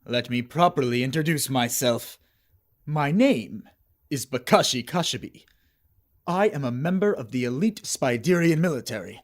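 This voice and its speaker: A man voicing a confident alien soldier and aide in an animation, speaking in a measured, earnest way. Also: medium pitch, expressive, natural voice. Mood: composed, assured. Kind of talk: amateur animation voice acting.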